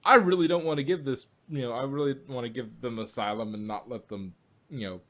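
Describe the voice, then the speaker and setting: Man, podcast co-host, mid pitch, rambling casual chat, restarts and laughs. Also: brisk, a bit bright.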